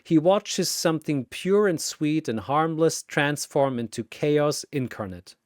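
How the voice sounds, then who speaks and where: Male narrator, slow, animated pitch, theatrical, suspenseful phrasing, scripted action story. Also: dry recording, clean studio sound.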